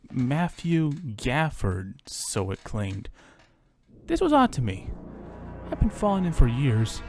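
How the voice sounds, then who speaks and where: A man's voice: mid-range voice, a little mellow. Man dramatically narrating over music. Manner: theatrical storytelling delivery. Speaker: narrator reading his own horror story. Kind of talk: dramatic scripted first-person narration. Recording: music under the voice.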